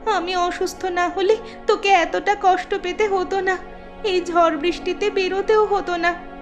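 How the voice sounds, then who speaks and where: A woman's voice: extremely high pitch, steady tone, a little slow, faint music behind. A woman voicing a sick, frail younger sister in a cartoon, pleading and tearful. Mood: frightened. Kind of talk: cartoon voice acting.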